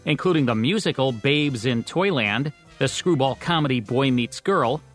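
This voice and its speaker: A man's voice: mid-range voice, lively intonation, moderate pace, soft background music. An American man co-hosting a podcast, reading scripted narration about radio history. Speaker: podcast co-host.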